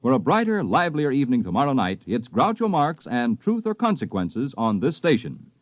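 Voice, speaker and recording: A man's voice: mid-range voice, big pitch swings, a little fast, no room sound. A man working as a polished radio announcer, delivering upbeat promotion in 1950s style. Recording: dull 1950s radio recording.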